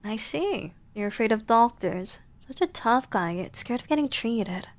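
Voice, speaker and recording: A woman's voice: fairly high pitch, animated, a little slow. A woman playing a blunt, teasing young gas station clerk in a horror game, with a wry delivery.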